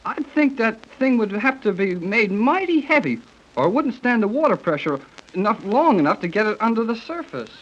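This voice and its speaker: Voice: medium pitch, very animated, normal speed. A performer playing an eager, wide-eyed youngster who gushes in folksy slang in a 1930s radio serial. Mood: eager, amazed. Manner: gushing, slangy exclamations. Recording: dull, noisy 1930s radio recording.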